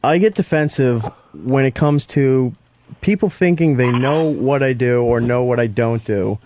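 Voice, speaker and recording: A man working on a radio show, chatting on air in a halting, defensive way. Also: mid-range voice, a little mellow. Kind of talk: casual on-air chat.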